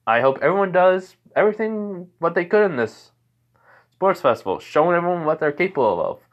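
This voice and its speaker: A man voices a young superhero student in a homemade story, lifting his pitch well up. He boasts in a friendly, breezy way and hesitates as he goes. The recording is dull and lo-fi. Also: animated, brisk. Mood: cheerful, encouraging. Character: cheerful, cocky young male superhero student giving a speech.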